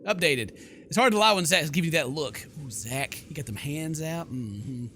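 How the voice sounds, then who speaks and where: A man's voice: on the high side, expressive, moderate pace, a bit bright, a little hiss or hum. A man doing jokey, bro-ish game commentary and expressively reading story text aloud as a let's player.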